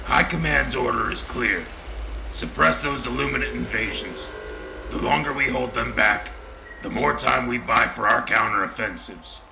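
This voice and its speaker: A gravelly military field reporter, acted by a man, delivers a commanding, urgent wartime radio bulletin. The voice rings in a roomy space, with clear battlefield noise and vehicle rumble behind it. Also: moderate pace, lightly acted. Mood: urgent, resolute. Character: gruff urgent military war correspondent called Bulldog. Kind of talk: scripted wartime radio broadcast.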